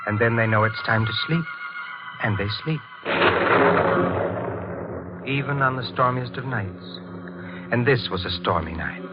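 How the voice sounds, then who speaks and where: A man acting as a secretive, brooding husband telling his story in a vintage radio thriller. His voice is fairly low, hesitant and measured, with uneasy restraint. Loud music plays behind the muffled old recording. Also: lightly acted. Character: nervous, brooding older husband, secretive bird keeper with murderous past. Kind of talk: 1940s radio acting, first-person narration.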